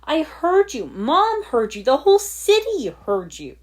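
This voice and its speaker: A woman's voice: high-pitched, huge pitch swings, a little slow, voice pushed up, heavily acted. Woman voicing an angry, biting teenage ninja boy. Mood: furious, hurt. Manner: biting, snapping. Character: angry hurt teenage boy ninja, accusing his father. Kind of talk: fan fiction audiobook dialogue.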